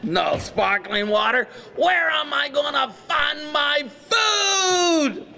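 Shouting, whiny young man in a cafeteria. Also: extremely high pitch, expressive, slow pace, vocal fry, slight background noise. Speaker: student in a school news comedy sketch. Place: school cafeteria, large indoor room.

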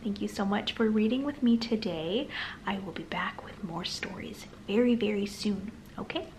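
A woman reading aloud to young children in a sing-song manner with gentle asides. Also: medium pitch, normal speed, slight background noise. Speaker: children's storytime host and reader. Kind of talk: read-aloud storytime for toddlers, addressing young children. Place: small living room, on a sofa, indoors.

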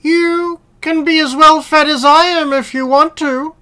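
A woman acts out a plump, pampered house dog from a fable. The voice is pitched well up and unhurried, boastful and coaxing. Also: fairly even intonation, pushed and bright. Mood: smug, persuasive. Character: fat, well-fed, self-satisfied male house dog.

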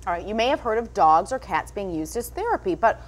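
A woman news anchor, animated and giggly in chat, reading in a TV studio. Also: mid-range voice, a little fast, crowd noise.

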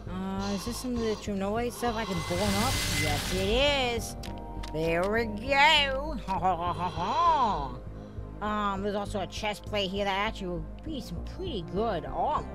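A streamer with a voice prone to cracks, talking rambly through a horror game with many fillers. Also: female voice, medium pitch. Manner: rambling, filler-heavy, jumpy. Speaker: gaming streamer. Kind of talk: let's play commentary.

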